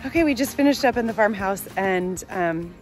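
A woman's voice: mid-range voice, animated, moderate pace, soft background music. A woman in her forties chatting upbeat with fillers while filming herself on a phone outdoors on a sunny farm. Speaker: vlog host. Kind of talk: casual travel vlog. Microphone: phone at arm's length.

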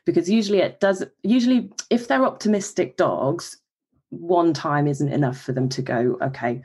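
A woman dog behaviourist calmly explaining behaviour with examples in a podcast interview. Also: very deep voice, husky. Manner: calm, explanatory, gives examples.

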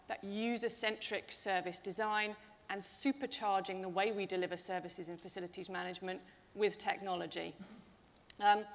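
A middle-aged woman speaking on a conference panel, upbeat and gesturing as she talks. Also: medium pitch. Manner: upbeat, gestures while talking. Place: large event hall stage. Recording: reverberant hall.

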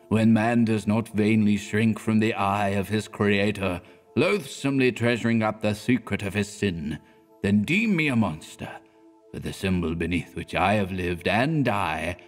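A dying old clergyman speaks slowly and solemnly, his voice low and drained. A man reads him aloud, dropping it softer and deeper than the narration. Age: older. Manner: faint, slow and solemn.

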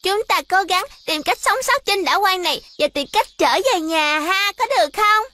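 Bossy, very high-pitched cartoon girl. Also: moderate pace, sharp tone. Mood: excited. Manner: bossy, insistent. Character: bossy, excitable little girl, imperious and cute. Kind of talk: dubbed children's cartoon acting. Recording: music behind the voice.

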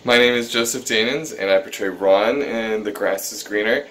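Low-voiced man, measured and thoughtful. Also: fairly bright tone, poor audio. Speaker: voice actor. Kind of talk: cast self-introduction.

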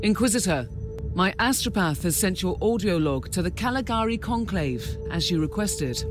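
A dutiful starship captain from a sci-fi game. His voice sits high for a man, earnest and formal, with music playing behind. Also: animated, normal speed. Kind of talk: video game voice acting.

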